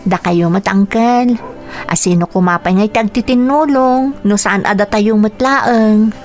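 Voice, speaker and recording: An actress with a Filipino accent playing a caring, forgiving young niece in a radio drama, tender and encouraging. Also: female voice, medium pitch, a little slow, soft background music, lightly acted.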